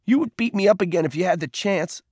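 A man voicing a furious, wounded boy in an audiobook story, bitter and taunting, shouting his accusations. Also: sits high, quick pace, husky, no echo, clean studio sound, pitched above the performer's own voice. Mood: accusing.

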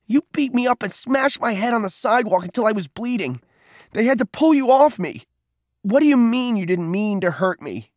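A man voicing a furious, wounded boy in an audiobook story, bitter and taunting, shouting his accusations. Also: high voice, expressive, moderate pace, no room sound, studio quality, voice pushed up. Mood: bitter, hurt.

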